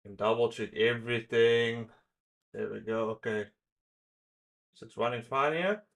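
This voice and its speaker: A man's voice: mid-range voice, measured pace, vocal fry, a bit bright. A man talking casually to himself and viewers as a livestream host, in a slangy, muttering way. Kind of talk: casual livestream chat while setting up.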